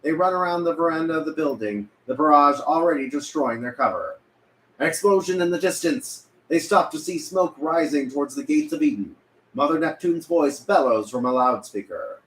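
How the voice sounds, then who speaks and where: A man's voice: on the high side, animated, moderate pace, fairly bright tone. A man reading scripted narration as narrator at a table read. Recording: echoey room, faint background noise.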